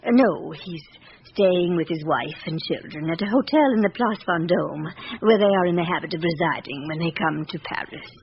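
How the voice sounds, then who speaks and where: A woman's voice: on the low side, moderate pace, lightly acted. A stately elderly noblewoman played by an actress speaks with formal, candid pride in a radio drama. Her emphatic lines come through a dull old recording with faint noise. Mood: candid, gracious. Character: proud, candid elderly aristocratic French widow.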